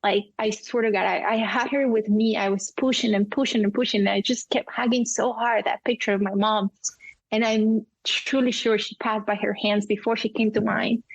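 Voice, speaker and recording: Colombian woman, 40s, Spanish native, interview guest, heartfelt, halting, dry muffled sound. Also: medium pitch, steady tone, breathy edge, dry recording. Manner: emotional, candid, many fillers and restarts. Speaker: guest, cinematographer. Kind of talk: casual interview conversation.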